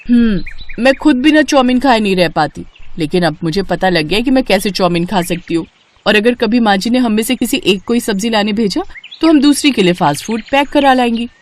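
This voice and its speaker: A woman's voice: a little high, expressive, a little fast, natural voice. A woman voicing a young new bride in a moral story cartoon, a mischievous food-loving younger sister-in-law. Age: young adult.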